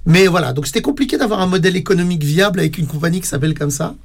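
A man being interviewed on the radio about his theatre company, talking haltingly with many fillers and repeated words. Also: high-pitched, animated, creaky. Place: radio studio.

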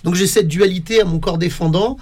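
Guy on a radio show, kinda high voice, stumbles over words a lot, tons of euhs. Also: a little fast, a little mellow. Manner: hesitant, many repeated words and fillers. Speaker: radio guest, theatre company director. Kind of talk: casual radio interview. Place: radio studio.

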